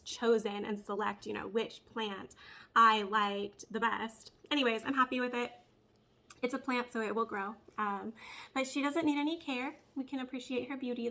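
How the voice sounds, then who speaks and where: A woman's voice: fairly high pitch, bright, forceful tone. A woman with a slightly nasally, congested voice from a cold, chatting casually and bubbly as a plant vlog host.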